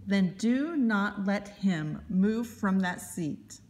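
A woman reading a children's picture book aloud as a librarian, in expressive, deliberate narration. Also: mid-range voice, a little hiss or hum.

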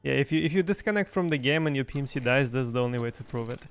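A man's voice: mid-range voice, animated, very fast, clear, resonant tone. A young man from Russia chatting casually while streaming an online game, repeating short phrases, recorded close on a mic at a desk. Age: young adult. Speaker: streamer playing an online shooter. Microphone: boom mic close up, with headset.

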